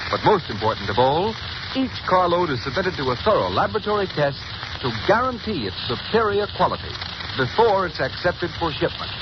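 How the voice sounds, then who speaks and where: A man's voice: fairly high pitch, big pitch swings, fairly quick, lo-fi sound. A man reading a commercial as a radio announcer in an earnest, persuasive 1930s style. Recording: scratchy 1930s radio broadcast recording.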